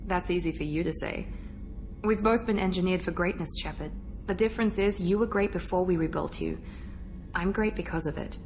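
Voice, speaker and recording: An actress playing a guarded, proud engineered operative in a video game, speaking in a measured, self-assured way over background music. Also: female voice, on the low side, a little mellow, natural voice. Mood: guarded, reflective. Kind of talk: video game dialogue acting.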